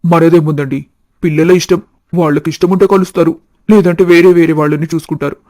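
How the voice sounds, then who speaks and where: Man as a genial father, evenly pitched. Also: steady tone, quick pace, mellow, no room sound, lightly acted. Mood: polite, reasonable. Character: genial, practical middle-aged father arranging a match. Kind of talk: animated moral story dialogue.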